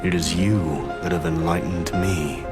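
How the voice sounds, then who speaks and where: A man playing a weary, dignified samurai-era master in a game, with grave, formal, deliberate delivery. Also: low-pitched, fairly even intonation, close to an everyday voice. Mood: reflective, resolved. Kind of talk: scripted dramatic game dialogue. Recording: loud music behind the voice.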